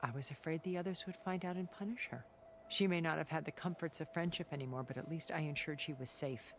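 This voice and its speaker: An actress voicing a cultured, centuries-old woman recluse in a video game cutscene, speaking in a calm, deliberate and elegant way. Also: low-pitched, a little hiss or hum. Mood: measured. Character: cultured, ancient woman recluse, measured and regretful. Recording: game cutscene with music underneath.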